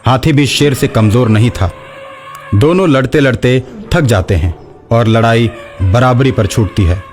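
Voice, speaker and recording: A man's voice: mid-range voice, a little slow, darkish tone. A man narrating a scripted children's story, with music behind him.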